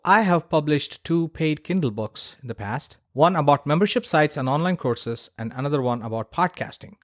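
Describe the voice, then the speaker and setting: Casting: male solo podcaster, medium pitch, very dry recording, informal and opinionated, punches key points bluntly. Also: no room sound. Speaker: podcast host.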